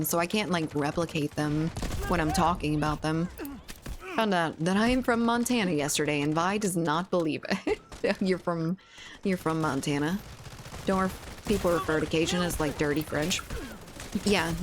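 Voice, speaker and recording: A young woman with a fairly low voice, streaming and chatting with viewers. She rambles from thought to thought, with a faint hiss of noise under the recording. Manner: rambling, chatty, laughs often. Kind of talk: casual chat with viewers while gaming.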